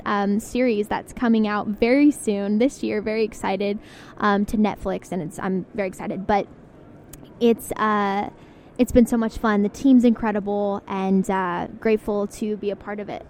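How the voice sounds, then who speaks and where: A woman's voice: a little high, a little mellow, a little hiss or hum. A young woman chatting as an interview guest, bubbly and full of fillers, recorded on location into a handheld recorder. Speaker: guest, singer and voice actor. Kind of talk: casual interview chat. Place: on location, indoors. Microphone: studio mic into handheld recorder.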